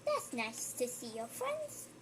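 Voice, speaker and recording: Woman, high and light voice, unhurried storybook reader who breaks off to chat with the listener. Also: wildly swooping pitch, slight background noise. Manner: slow, gentle reading with chatty asides to the listener. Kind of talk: reading a picture book aloud.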